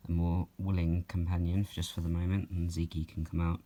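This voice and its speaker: A young man from Norway, answering viewer questions about his reptiles at home in a calm, unhurried way, with many ums and soft laughs. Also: low-pitched. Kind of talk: relaxed Q&A vlog. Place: at home, handling snakes while filming.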